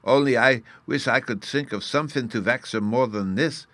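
A man reading a storybook aloud, voicing a small, mischievous and sulky boy fairy who lisps "oo" for "you" and talks in a petulant, lively way. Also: medium pitch, moderate pace, dry recording, pitched above the performer's own voice, natural voice. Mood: impatient. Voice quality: childish lisp, says "oo" for you.

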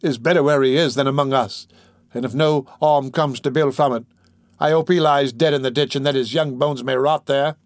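A man's voice: medium pitch, fairly quick, dry recording, studio quality, lightly acted. A man voicing a bitter, defiant young woman from a criminal gang in an audiobook, with impassioned delivery. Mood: desperate.

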